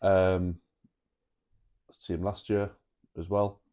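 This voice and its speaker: A man co-hosting a podcast, talking in a relaxed, casual way with many repeated words. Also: low voice, takes it slowly, clean tone. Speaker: podcast co-host. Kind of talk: casual chat.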